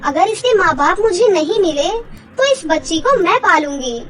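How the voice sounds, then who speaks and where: A woman's voice: extremely high pitch, expressive, moderate pace, a bit bright. A woman voicing a kind, protective young fairy mother in an earnest, emphatic cartoon fairy-tale style. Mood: worried, determined. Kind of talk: animated Hindi fairy-tale cartoon acting.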